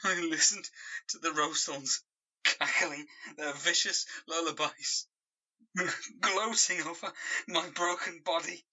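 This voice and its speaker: A traumatised man in an audio drama, reliving something terrible. His voice is raspy and very tense, with a hard edge. He speaks slowly and haltingly, weighing each phrase as he goes. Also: a little high, steady tone, pushed and bright, exaggerated voice, low-quality recording. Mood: anguished, shaken. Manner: slow, halting, haunted delivery with repeated words. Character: traumatised man reliving a savage dog attack.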